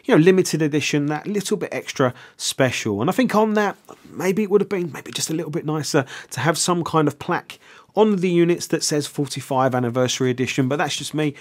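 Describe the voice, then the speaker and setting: Measured male product reviewer. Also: on the high side.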